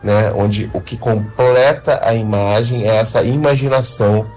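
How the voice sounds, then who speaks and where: A man with a Brazilian accent explaining his field in an interview, haltingly, often adding little tag questions. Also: fairly low pitch, steady tone, a little mellow, soft background music. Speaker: interviewed radio drama creator.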